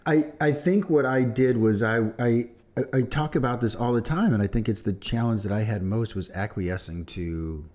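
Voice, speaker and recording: A man's voice: medium pitch, normal speed, darkish tone. A man co-hosting a podcast in casual, unscripted chat, with an irreverent manner and free swearing. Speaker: podcast co-host.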